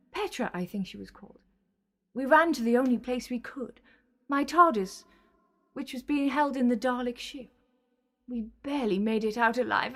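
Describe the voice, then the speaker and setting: High-voiced, imperious villainess, played by a woman. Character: desperate, menacing female Time Lord villain, scheming and bitter. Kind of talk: sci-fi audio drama acting.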